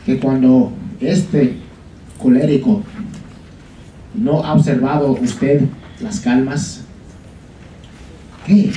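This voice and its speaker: A young man reading a poem aloud in a slow, measured recital at a college podium. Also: medium pitch, fairly even intonation, mellow, slight background noise. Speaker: student reader. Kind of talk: dramatic poetry reading in Spanish. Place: college lecture room. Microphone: podium mic from a far camera. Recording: echoey room, mic far off.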